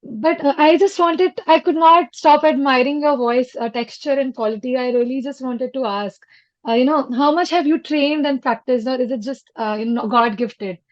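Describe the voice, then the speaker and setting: A woman with an Indian accent and a high voice puts a question politely. She is hesitant and deferential. The recording is dry but dull and lo-fi. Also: moderate pace, no echo. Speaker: actor in training, audience questioner.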